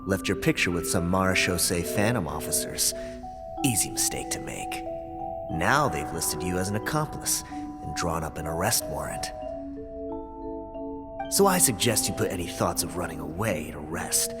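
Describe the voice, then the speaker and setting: A man's voice: sits low, lively intonation, normal speed, in a large hall, over loud music. An actor voicing a cold, threatening male crime boss in a video game. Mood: menacing.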